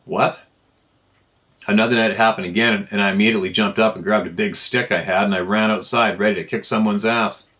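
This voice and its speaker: A man's voice: lowish voice, steady tone, fairly quick, a bit bright. A man reading a first-person account aloud in an informal storytelling style. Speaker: narrator reading listener emails.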